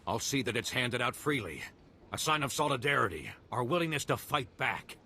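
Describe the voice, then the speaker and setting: An actor playing an earnest, worried desert moisture farmer in a video game. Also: male voice, mid-range voice, big pitch swings, normal speed, pushed and bright, slight background noise. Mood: overjoyed gratitude. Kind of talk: video game dialogue acting.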